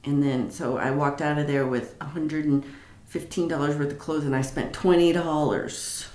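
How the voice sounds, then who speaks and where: A woman with a notably low, deep voice chats loosely on her livestream, wandering through her week with lots of fillers and restarts. She sounds like she is in an echoey room at home. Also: fairly even intonation, normal speed, a little mellow. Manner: chatty, rambling, many fillers and restarts. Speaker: livestream host. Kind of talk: casual live chat reading comments.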